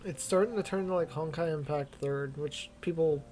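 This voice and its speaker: A man's voice: a little high, moderate pace, a little mellow, slight background noise. A man giving casual, slangy gameplay commentary in an offhand way. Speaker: streamer reacting to a game.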